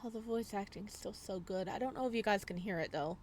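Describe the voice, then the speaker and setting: A woman's voice: mid-range voice, brisk, a little mellow, slight background noise. A woman gaming YouTuber reading visual novel text aloud, mixed with casual commentary.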